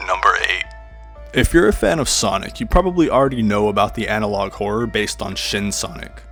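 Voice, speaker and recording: A man's voice: lowish voice, a little fast, a bit bright. A man giving a scripted countdown narration as the host of a horror video. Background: music.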